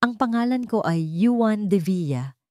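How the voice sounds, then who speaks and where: A woman's voice: medium pitch, expressive, measured pace, a little mellow, no echo, clean studio sound, voice pushed up, natural voice. A woman voicing a wealthy husband in an audio drama, speaking in a firm, cold, demanding way. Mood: cold, threatening. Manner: firm, commanding.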